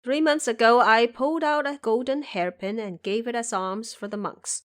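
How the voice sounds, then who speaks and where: A woman's voice: on the high side, moderate pace, lightly acted. A woman voicing an indignant, proud and bewildered village wife in an audio drama, emphatic and scolding.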